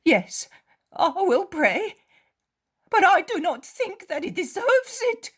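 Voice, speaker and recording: A woman reading aloud who voices an outspoken female companion, exclaiming sharply in a very high voice. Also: sing-song, slow pace, a bit bright, full character voice. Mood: indignant.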